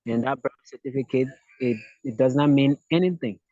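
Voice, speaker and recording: A man's voice: mid-range voice, a little fast, dark tone, no room sound. Hesitant Liberian man, muffled and dry. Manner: hesitant, repeats words and restarts. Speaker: interviewee. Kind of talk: interview answers. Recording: dull lo-fi dry sound.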